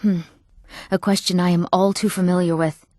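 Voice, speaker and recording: A woman acting an eager, earnest young woman in a fantasy video game, speaking her lines clearly and a little theatrically. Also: fairly low pitch, flat delivery, moderate pace, a little mellow, no room sound, clean studio sound, natural voice. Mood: formal, resolute. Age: young adult. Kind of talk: scripted fantasy game dialogue.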